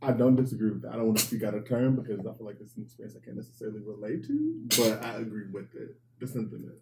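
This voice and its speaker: A man's voice: lowish voice, big pitch swings, rapid-fire, noisy background. A man in his late twenties, a guest in a casual group chat, speaking carefully and thoughtfully. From Cleveland, Ohio. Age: 20s.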